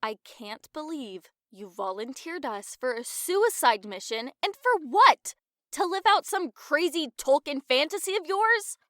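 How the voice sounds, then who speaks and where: A woman's voice: fairly high pitch, animated, unhurried, hardly any pauses, no room sound, studio quality. A woman playing a sarcastic, guarded young adventurer in a fantasy audio drama. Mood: exasperated.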